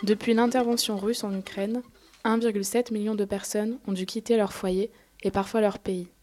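A woman reporter reading a scripted radio report in a measured way, recorded in the field. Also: mid-range voice, steady tone, warm tone.

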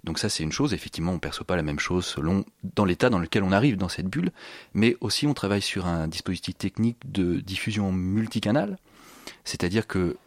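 A man's voice: sits low, expressive, a little fast, no room sound. A man explaining his sound installation in an interview, searching for his phrasing and repeating words as he goes.

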